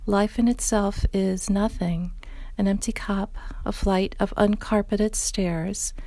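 Female poetry reader, medium pitch, recitation style, very dry close sound. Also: steady tone, slow, warm tone, dry recording. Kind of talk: poem recitation.

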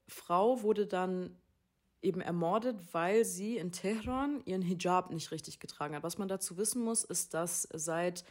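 A woman hosting a talk, calmly explaining background information to listeners. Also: on the low side, moderate pace. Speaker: podcast host.

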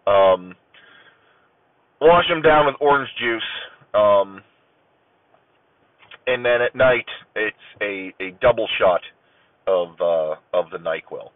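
A man from Ohio hosting his own podcast, rambling casually with many ums, stuffy and clearing his throat as if getting a cold. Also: on the low side, animated, takes it slowly, long pauses, no room sound. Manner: rambling, many ums and uhs, self-deprecating. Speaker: podcast host. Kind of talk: casual solo podcast chat. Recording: tinny phone-like audio.

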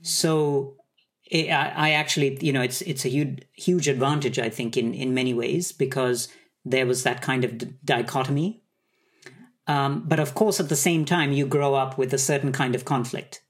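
A man from Sri Lanka, a conductor, answering interview questions in a thoughtful, measured way. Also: medium pitch, steady tone. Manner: thoughtful, measured, some hesitations. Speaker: conductor, guest.

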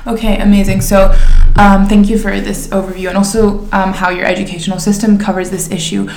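A woman's voice: medium pitch, flat delivery, a little fast, creaky, darkish tone, roomy sound, poor audio. A woman hosting a podcast interview, introducing a guest and asking questions politely. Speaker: podcast host.

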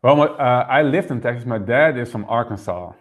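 A man raised in the Netherlands, speaking as an interview guest and explaining politics quickly, often repeating words as he goes. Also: medium pitch, highs cut off. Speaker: political party member, guest. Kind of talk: interview conversation.

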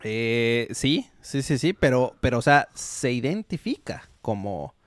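A man's voice: mid-range voice, sing-song, a little slow, no room sound. A young Mexican man with an ordinary mid-range voice talks through his ideas on a podcast. He has a Mexican accent and a casual, thinking-aloud manner. The recording is close and dry. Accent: Mexican Spanish. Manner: thoughtful, explains with many fillers and restarts. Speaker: podcast co-host. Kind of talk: casual chat.

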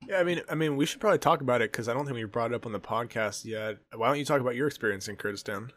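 A man's voice: mid-range voice, rapid-fire, a bit bright. A man co-hosting a casual podcast, rambling and trailing off mid-thought before restarting. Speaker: podcast co-host.

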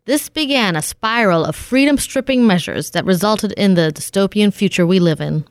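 A woman playing an earnest, authoritative secret-lab scientist in a retro radio play, speaking formally and gravely. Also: mid-range voice, animated, dry recording, studio quality, close to an everyday voice. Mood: grave, urgent. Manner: formal, grave, deliberate exposition. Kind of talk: 1940s-style radio play acting.

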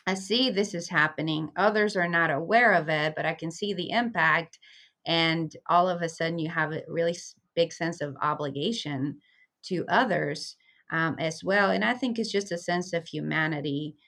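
A woman's voice: low voice, normal speed, a bit bright. A woman from Venezuela, a community liaison, speaking earnestly and reflectively as a guest in an interview. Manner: earnest, reflective, with frequent fillers. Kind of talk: conversational interview.

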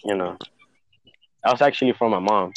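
A man's voice: on the low side, brisk. A young man from Honduras co-hosting a casual podcast chat, rambling with many fillers and slipping into Spanish words. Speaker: podcast co-host.

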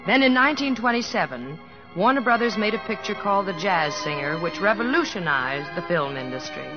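A woman's voice: mid-range voice, animated, brisk, music in the background, natural voice. An actress playing a loving but firm middle-aged wife and mother with an American accent, in old-time radio drama style. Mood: fond, reflective. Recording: muffled old radio recording.

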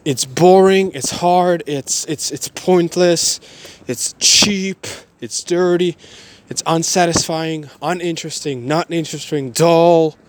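A man of twenty-one giving a motivational personal monologue in a halting, repetitive, emphatic way. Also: a little high.

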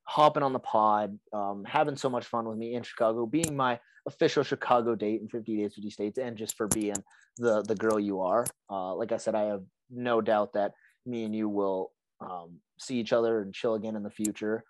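A young man with a raspy, hoarse voice from being sick, hosting a casual podcast chat and rambling with lots of "like" and restarts. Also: lowish voice, fairly even intonation, normal speed, poor audio. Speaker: podcast host.

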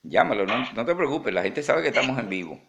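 A man with a Venezuelan accent hosting a live interview in an informal, chatty way, often halting and repeating words. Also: medium pitch, big pitch swings, gravelly, fairly bright tone, lo-fi sound, a little hiss or hum. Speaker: interview host.

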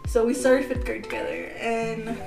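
A woman's voice: a little high, level tone, normal speed, vocal fry, far from the mic, music in the background. A woman in her twenties hosting a casual podcast, chatty and giggly, full of "like" fillers. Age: 20s. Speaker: podcast host. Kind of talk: casual chat.

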